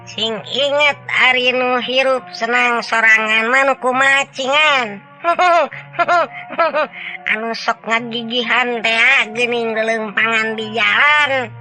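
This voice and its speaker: Impish wise sidekick, piercingly bright, unhurried, teasing, folk tale, faint music underneath. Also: male voice, full character voice. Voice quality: shrill, bright. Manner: slow, teasing, explanatory. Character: impish, cackling, wise riddle-explaining companion. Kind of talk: dramatized folk storytelling. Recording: music behind the voice.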